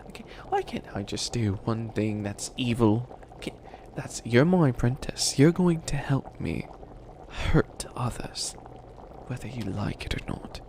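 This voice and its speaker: A man's voice: medium pitch, creaky, reverberant, a little hiss or hum. A man playing a theatrical, exasperated wicked witch who fails at being evil, stammering through a comedic roleplay monologue. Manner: exasperated, stammering, melodramatic villain rambling.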